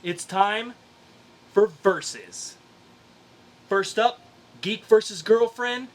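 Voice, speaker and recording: A man's voice: high-pitched, very slow. A man hosting a casual podcast discussion about comic books. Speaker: podcast host.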